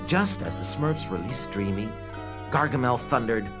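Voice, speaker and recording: A man narrating a scripted children's read-along story in an expressive, dramatic storytelling manner. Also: medium pitch, moderate pace. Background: background music.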